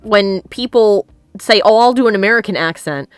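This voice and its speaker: A woman's voice: medium pitch, moderate pace, smooth, no room sound. A woman hosting a live stream, chatting casually, reading comments from chat aloud and laughing often. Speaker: streamer host.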